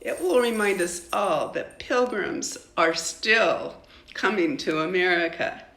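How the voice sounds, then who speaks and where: An older woman acting out a storybook schoolteacher, a woman who is firm but kindly. She speaks slowly and emphatically, in a bright voice with some room echo. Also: lowish voice, lively intonation, heavily acted.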